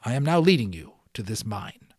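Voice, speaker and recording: A man voicing a courteous, calm gentleman guide in an audiobook, explaining things in a measured, theatrical way. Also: medium pitch, husky, no room sound, studio quality. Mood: grave, theatrical. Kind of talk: audiobook character dialogue.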